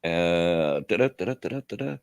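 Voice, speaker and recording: Middle-aged redneck guy hosting a stream, kinda low voice, rambly, chuckles a lot, dry room. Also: expressive, moderate pace, smooth, no room sound. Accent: Kentucky and Tennessee redneck. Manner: rambling, self-deprecating, laughs often. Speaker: livestream host. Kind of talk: casual livestream chat.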